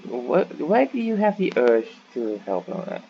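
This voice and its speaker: A man hosts a casual game playthrough in a mid-range voice. He talks haltingly and repeats phrases for emphasis. The recording is dull with faint noise. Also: mid-range voice, big pitch swings, vocal fry. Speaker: gaming video host.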